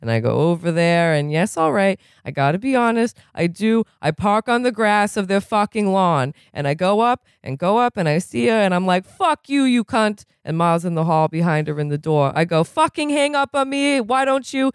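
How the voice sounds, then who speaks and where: A reader putting on a profane, rambling man in recovery who is quick to rage and keeps asking if you know what he's saying. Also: mid-range voice, animated, clear, resonant tone, no room sound, studio quality, pitched above the performer's own voice, lightly acted. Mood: heated. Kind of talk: reading a novel aloud, putting on a character.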